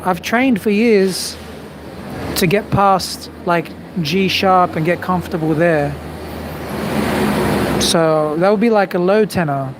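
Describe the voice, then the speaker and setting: Male vocal coach, unhurried and laid-back, mid-range voice, clear background noise. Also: sits high. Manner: relaxed, chatty, thinking aloud with fillers. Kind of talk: live stream Q&A, reading chat questions. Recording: noisy background.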